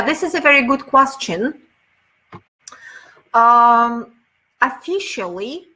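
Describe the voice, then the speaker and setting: A woman's voice: a little high, pushed and bright, slightly rough, poor audio. A woman with a Russian accent, a webinar speaker answering audience questions in a thoughtful, explanatory way over a video call. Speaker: webinar speaker, teacher trainer.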